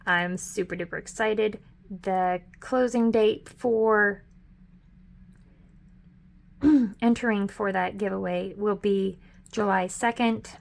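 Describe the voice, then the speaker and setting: Middle-aged woman, host of a budgeting vlog, chatty and upbeat, a touch hoarse, mid pitch. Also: steady tone, takes it slowly, pauses often. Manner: chatty and upbeat, with frequent ums and self-corrections. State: hoarse, losing her voice. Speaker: budgeting vlogger. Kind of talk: casual budget walkthrough.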